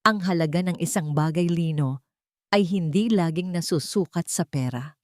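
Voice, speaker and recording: A woman voicing a gentle, sorrowful widowed mother in a story, speaking softly and earnestly. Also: on the low side, moderate pace, dry recording, clean studio sound, lightly acted. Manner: soft, earnest, solemn.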